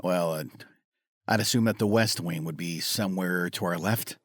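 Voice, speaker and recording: Man acting skittish grumpy guy, audio drama, low pitch, husky grumble, colloquial, dry sound. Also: moderate pace, no room sound. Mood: sheepish. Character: grumpy, reluctant, skittish male motel owner.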